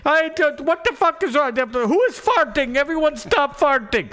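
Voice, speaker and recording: A man voicing a caricature of an agitated Indian TV news anchor, scolding and halting in broken English. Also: extremely high pitch, expressive, pushed and bright, pitched above the performer's own voice, heavily acted. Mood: flustered, loud. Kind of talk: mock live news report.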